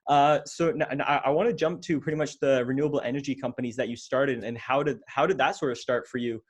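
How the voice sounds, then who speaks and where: A man's voice: medium pitch, steady tone, fast talker, husky. A man in his twenties hosting a business podcast, talking in an eager, casual way and often tripping over repeated words and "like". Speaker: podcast host. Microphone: podcast microphone in frame.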